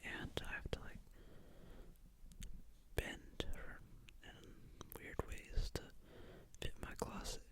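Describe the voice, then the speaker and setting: An exhausted ASMR creator rambling very softly in a hushed, sleepy whisper late at night in a bedroom. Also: low-quality recording. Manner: very soft, hushed, unhurried rambling. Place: small bedroom, lying in bed at night.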